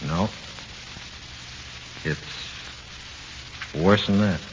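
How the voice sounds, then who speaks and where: An actor playing the ghost of an executed gangster in 1940s radio horror, talking tough, streetwise slang with cool menace. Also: male voice, low voice, drawn-out words. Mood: ominous, coaxing. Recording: muffled hissy 1940s radio recording.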